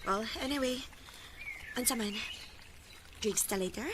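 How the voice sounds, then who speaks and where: A woman's voice: on the high side, measured pace, natural voice. An actress playing a flirtatious, teasing single woman with a Cebuano Bisaya accent in a radio drama. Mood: casual, inviting. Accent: Filipino, Cebuano Bisaya. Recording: noisy radio drama recording.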